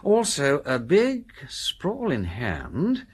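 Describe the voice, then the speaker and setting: An actor playing a flippant aristocratic amateur detective in a radio drama, with airy, clipped upper-class English speech. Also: male voice, medium pitch, wildly swooping pitch, very slow, slightly rough, full character voice. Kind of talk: British radio drama acting.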